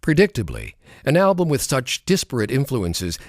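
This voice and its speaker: A man narrating a scripted music documentary, reading in a measured, expressive way in a recording studio. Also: medium pitch, no room sound. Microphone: studio microphone.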